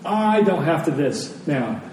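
A man preaching a sermon as a pastor, earnest and emphatic, pausing and repeating phrases for weight. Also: sing-song, a little mellow. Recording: echoey hall, distant mic, faint rumbling noise.

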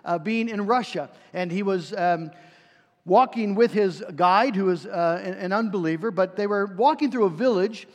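A man's voice: high voice, moderate pace. A man preaching a sermon in a conversational way, with frequent fillers and restarts. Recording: reverberant hall.

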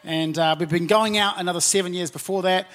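A man's voice: sits high, fairly even intonation, a little fast, fairly bright tone. A man in his forties with a New Zealand accent, preaching as a pastor in a conversational way, full of "and, uh" fillers. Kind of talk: sermon. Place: church auditorium.

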